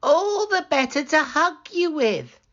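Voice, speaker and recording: A woman voicing a sly wolf who puts on a squeaky, sugary falsetto to pass as someone harmless in a storybook. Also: sing-song, takes it slowly, a bit bright, highs cut off, full character voice. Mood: falsely sweet. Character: sly male wolf impersonating a little girl or granny.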